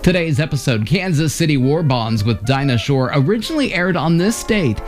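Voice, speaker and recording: A man's voice: fairly high pitch, expressive, normal speed. A man reading a scripted introduction as the narrator of a vintage radio series. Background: music.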